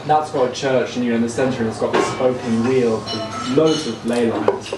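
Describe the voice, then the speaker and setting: A man's voice: medium pitch, normal speed, over loud music. Distant, echoey man asking tentatively. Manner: tentative, meandering, peppered with "like". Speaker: audience member asking a question. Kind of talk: casual spoken question. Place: audience area at a live talk. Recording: echoey, distant mic, loud room noise.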